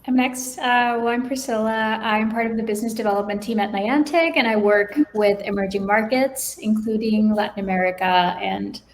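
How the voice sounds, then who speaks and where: A woman's voice: mid-range voice, steady tone, normal speed, few pauses, slight background noise. A woman raised between California and Mexico, speaking casually as a panelist. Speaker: business development panelist.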